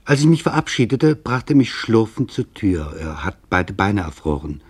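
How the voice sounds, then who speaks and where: A sober male narrator with a low, husky voice guides a radio documentary drama. His delivery is grave, measured and deliberate. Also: big pitch swings.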